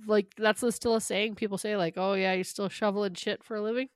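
A woman's voice: medium pitch, little pitch movement, clear, resonant tone, no echo. Reactive woman co-host, dry close sound. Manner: reactive, quick interjections and wondering questions. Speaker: podcast co-host. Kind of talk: casual chat.

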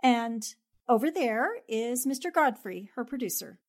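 A self-possessed newspaperwoman from a 1940s radio play, acted by a woman. Her voice sits on the high side, and she talks in a brisk, businesslike way, fully in command. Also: lively intonation. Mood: calm, decisive. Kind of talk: 1940s radio drama acting.

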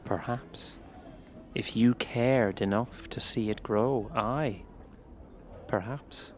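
A man playing a gentle, hopeful tree-tender in a fantasy audio drama, with measured, archaic, friendly speech. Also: medium pitch, a little hiss or hum, close to an everyday voice. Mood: thoughtful.

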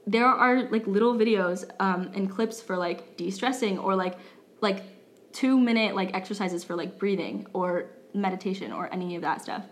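A woman's voice: medium pitch, moderate pace. A Brazilian woman in her twenties with Portuguese as her first language, co-hosting a law school podcast in a chatty, filler-heavy style, often laughing. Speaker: law student podcast co-host. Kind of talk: casual chat.

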